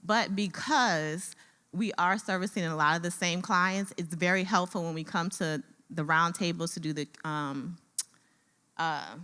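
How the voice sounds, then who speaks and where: A woman from a nonprofit giving a conversational comment at a conference, with a loud voice and frequent filler words. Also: fairly low pitch, unhurried. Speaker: nonprofit staffer. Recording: reverberant conference hall.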